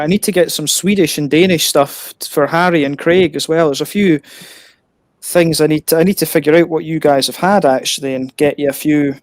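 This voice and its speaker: Scottish beer host, slightly higher voice, chatty and giggly, dry close sound. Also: male voice, no echo. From Scotland. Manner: laughs often, rambling with many restarts. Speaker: beer reviewer hosting an online hangout. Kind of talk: casual chat. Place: home, on a video call.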